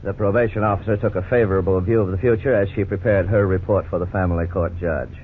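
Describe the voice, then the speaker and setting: A middle-aged man playing a lawyer who narrates and explains the case in a measured, formal way, in 1950s radio drama style. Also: sits low, fairly even intonation, natural voice. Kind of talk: scripted narration. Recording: scratchy 1950s radio broadcast, tinny, faint hiss.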